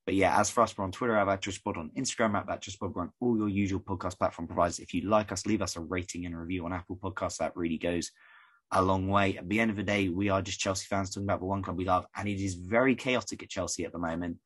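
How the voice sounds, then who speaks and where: A man from the UK hosting a casual podcast, rambling and conversational, his voice croaky. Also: low-pitched, fairly even intonation, rapid-fire, fairly bright tone. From United Kingdom. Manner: rambling, conversational, many fillers. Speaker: podcast host.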